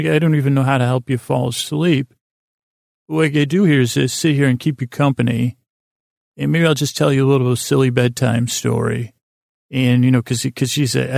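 An American man with a gravelly, creaky voice at a middling pitch, recorded close and dry. He hosts a bedtime podcast as a meandering monologue, halting, doubling back on his words and drifting off on tangents. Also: a little mellow, dry recording. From United States. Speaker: podcast host.

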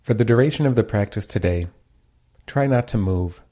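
A man leading a guided meditation as its teacher, giving calm, gentle, unhurried instructions. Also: lowish voice.

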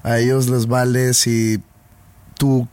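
A man's voice: mid-range voice, level tone, slow, darkish tone, no room sound. A man in a casual podcast chat, playful and wordy, building long joking metaphors. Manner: playful, wordy, teasing jokes.

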